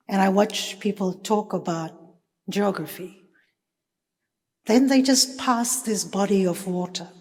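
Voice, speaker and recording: A woman from Fiji giving a thoughtful conference talk, with many pauses and fillers. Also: fairly low pitch, a little mellow, slightly rough. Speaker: panel speaker. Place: conference hall. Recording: reverberant conference hall.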